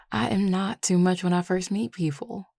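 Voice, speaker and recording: Flirty Southern US werewolf played by a woman, low-pitched, drawling and affectionate, close dry sound, intimate audio roleplay. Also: steady tone, takes it slowly, creaky, darkish tone, dry recording, heavily acted. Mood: wistful, smitten. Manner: drawling, teasing, sighing, casual and affectionate. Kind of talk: intimate audio roleplay, one-sided conversation.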